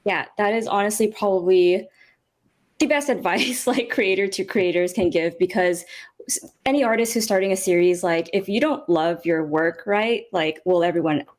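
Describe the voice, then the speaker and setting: A woman's voice: medium pitch, moderate pace. A woman hosting a casual panel, reading out questions and responding in an upbeat, encouraging manner. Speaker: panel host.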